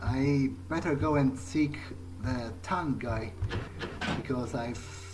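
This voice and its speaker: A man playing a slow, hesitant, bewildered young vampire. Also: mid-range voice, background noise, close to an everyday voice. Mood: resolved, musing. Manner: halting, hesitant, searching for words. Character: bewildered newly turned vampire, former programmer, musing earnestly. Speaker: video game player speaking in character. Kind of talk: in-character thinking aloud during a playthrough.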